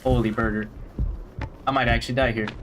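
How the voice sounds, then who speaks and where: A man chatting casually while streaming a game, rambling with restarts, his voice slightly hoarse. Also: mid-range voice, flat delivery, a little fast, heavy background noise. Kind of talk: casual gameplay stream chat. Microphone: gaming headset.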